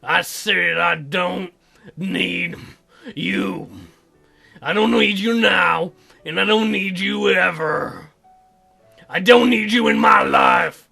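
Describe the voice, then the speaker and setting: Stubborn, wounded young guy for a dating game, done by a male player, halting, gravelly, slow delivery. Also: high-pitched, lively intonation, sharp tone, faint music behind, full character voice. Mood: angry, defiant. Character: stubborn, hurting Filipino young man, childhood friend.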